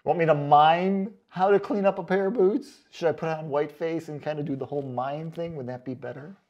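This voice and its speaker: A man hosting a casual vlog, reading out comments in a chatty, joking way with sarcastic asides and drawn-out comic emphasis. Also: on the high side, a little mellow, dull audio. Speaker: online boot reviewer and video host.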